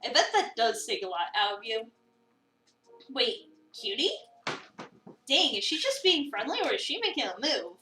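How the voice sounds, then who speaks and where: A woman's voice: a little high, very animated, normal speed, pauses often, bright, forceful tone. A woman doing a playful, theatrical dramatic reading of a visual novel as a streamer. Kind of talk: dramatic reading with casual commentary. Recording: roomy, distant mic, music behind.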